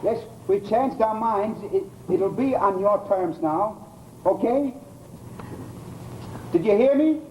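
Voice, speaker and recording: An actor in an old-time radio horror drama playing an unhinged, softly insistent grieving widower, halting, coaxing and pleading. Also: male voice, high voice, dull audio, slight background noise. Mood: anxious, coaxing.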